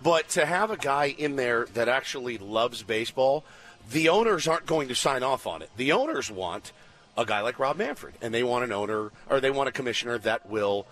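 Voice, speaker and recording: Male co-host, medium pitch, blunt and opinionated, casual sports radio chat. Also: expressive, moderate pace, fairly bright tone. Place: on location at a spring training complex.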